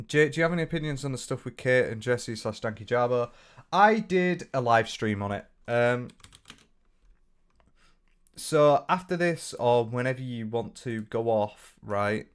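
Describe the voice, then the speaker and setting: A young man with a northern English accent chatting casually on a livestream, reading out viewer comments with lots of restarts and the odd swear. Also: mid-range voice. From north of England. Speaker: streamer.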